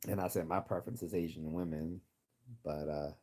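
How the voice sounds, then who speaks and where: A man's voice: fairly even intonation, a little fast. A man with a generic Midwestern American accent chatting casually and giving his opinions as host of a livestream. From United States. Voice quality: deep, husky, mellow.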